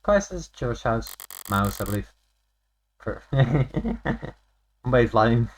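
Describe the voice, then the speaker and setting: A man's voice: mid-range voice, big pitch swings, slight background noise. A man reading a visual novel aloud on a live stream, stumbling and rereading lines, with offhand asides. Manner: halting reader who repeats and rereads lines. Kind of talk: live game read-aloud with off-script asides. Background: game music.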